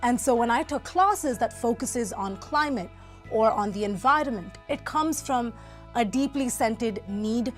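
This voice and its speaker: A young university student from Singapore recalling personal experiences in an interview, candid and reflective in a conversational way. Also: female voice, a little high, unhurried, faint music behind. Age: young adult.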